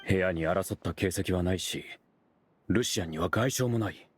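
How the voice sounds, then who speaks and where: A man playing a serious, blunt investigator who questions suspects in a Japanese game, with a husky voice. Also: sits low, normal speed, dry recording. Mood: serious, probing. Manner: blunt, inquiring.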